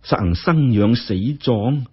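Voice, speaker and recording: A man with a Hong Kong Cantonese accent voicing a forceful, righteous, emotional woman benefactor in a told story. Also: mid-range voice, animated, unhurried, slightly rough, dull audio. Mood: wistful.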